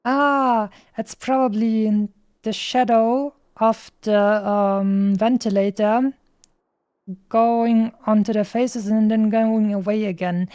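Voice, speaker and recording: A woman's voice: fairly high pitch, steady tone, takes it slowly, darkish tone, no room sound, studio quality. A woman game streamer chatting casually, rambling with playful asides. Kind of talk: casual livestream chat.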